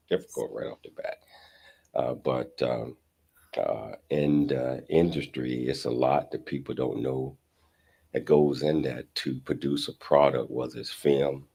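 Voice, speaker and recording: An American man hosting a casual talk show in a halting, rambling way with repeated words. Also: very deep voice, creaky, dark tone, breathy edge.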